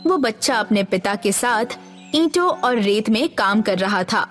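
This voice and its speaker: A woman giving scripted narration for an animated children's story. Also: mid-range voice, a little slow, soft background music.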